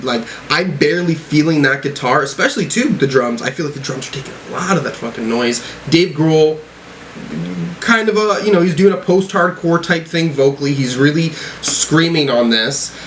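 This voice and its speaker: A man giving casual, off-the-cuff reaction commentary as a music reviewer, talking in a halting, rambling, slangy way with the odd swear word. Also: a little high, lively intonation, a bit bright, slight background noise.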